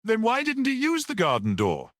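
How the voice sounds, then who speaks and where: A man voicing a brisk, suspicious police detective who questions sharply and gives orders in a story. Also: high voice, wildly swooping pitch, no echo, studio quality, voice pushed up, exaggerated voice. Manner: sharp, interrogating.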